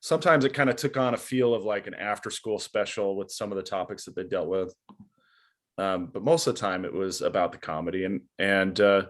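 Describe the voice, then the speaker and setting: A young man hosting an interview, asking prepared questions in a friendly way. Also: mid-range voice. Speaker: interviewer, host.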